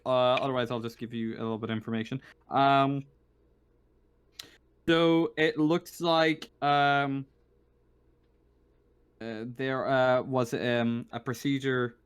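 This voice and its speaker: A man running a tabletop roleplaying game as game master, thinking aloud in a halting way as he improvises. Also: medium pitch, clean tone, no room sound. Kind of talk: narrating and refereeing a tabletop game.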